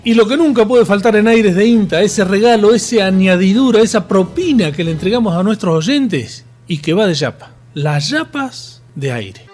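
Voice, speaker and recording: A man's voice: high-pitched, animated, normal speed, a little mellow, soft background music. An Argentine man hosting a regional radio magazine and presenting its segments. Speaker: radio host.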